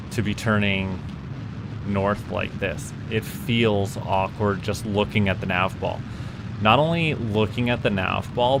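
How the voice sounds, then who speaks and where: A man's voice: on the low side, background noise. A Canadian man giving casual, playful live commentary as he hosts a gaming stream, slipping into mock-official mission-control talk. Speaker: livestream gamer host.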